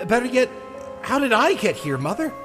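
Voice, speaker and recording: A man's voice: very high-pitched, very animated, normal speed, music in the background. A man voicing earnest young heroes in a dramatized comic dub. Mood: bewildered. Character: cocky street vigilante Casey, and gentle bewildered young turtle.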